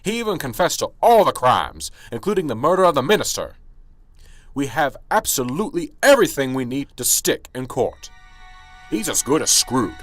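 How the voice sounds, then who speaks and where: A man's voice: mid-range voice, sing-song, moderate pace, faint music behind, natural voice. A man playing an older, weary but self-assured small-town police sergeant, speaking emphatically in an amateur radio drama. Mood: exasperated, insistent.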